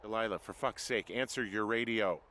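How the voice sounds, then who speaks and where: Actor as a weary, low-voiced American lookout. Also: male voice, normal speed, fairly bright tone, a little hiss or hum, lightly acted. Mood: exasperated, tired. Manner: hesitant, exasperated. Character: weary, anxious, bewildered adult male fire lookout. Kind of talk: naturalistic video game dialogue.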